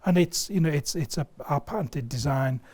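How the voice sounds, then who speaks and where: Higher-pitched, mellow man explaining a product. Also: animated, moderate pace, slightly rough. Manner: explains with everyday analogies, emphatic repetition. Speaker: loudspeaker company sales director, guest. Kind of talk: enthusiastic product explanation in conversation. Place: video studio.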